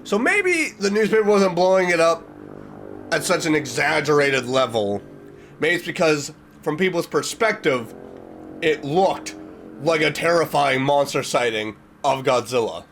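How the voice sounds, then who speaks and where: A man's voice: fairly high pitch, lively intonation, a little slow, fairly bright tone, faint music behind. A man giving casual reaction commentary, reading aloud and reacting expressively, drawing out words for emphasis. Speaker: reaction video host.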